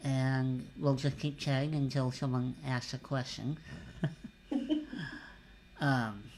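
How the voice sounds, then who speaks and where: A man hosting a casual livestream interview show, telling stories in a relaxed, conversational way. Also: medium pitch, slow, a little hiss or hum. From California.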